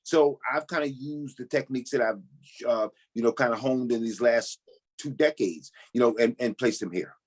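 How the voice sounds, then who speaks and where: A man's voice: medium pitch, moderate pace, a little mellow. A middle-aged man, a pastor appearing as a guest, answering reflectively and leaning on "you know" and repeated words. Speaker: pastor and novice actor, guest.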